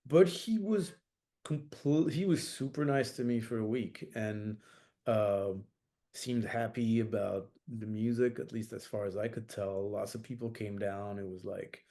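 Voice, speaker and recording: A middle-aged man from France speaks as a guest in an interview, in a low, warm voice. He thinks aloud, circling back with fillers and restarts. His manner is reflective and unhurried. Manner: thoughtful, many fillers and restarts. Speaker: jazz saxophonist guest.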